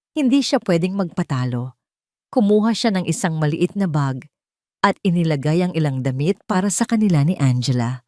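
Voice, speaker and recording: Filipino woman narrating drama, low dry voice. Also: mellow, dry recording. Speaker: audio story narrator.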